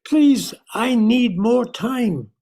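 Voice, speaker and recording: A man playing a desperate, menacing blocked novelist in an amateur radio play, pleading haltingly. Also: very high voice, lively intonation, mellow, heavily acted.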